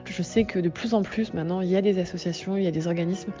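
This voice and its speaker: A woman shares a candid personal testimony, halting and full of fillers; French is not her first language. Her voice is fairly low and mellow. The recording is dull, with faint music. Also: steady tone. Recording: dull lo-fi sound.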